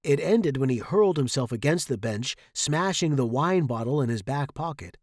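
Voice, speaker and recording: A man's voice: mid-range voice, animated, moderate pace, a little mellow, dry recording, studio quality. A man reading a first-person short story dramatically, as its narrator.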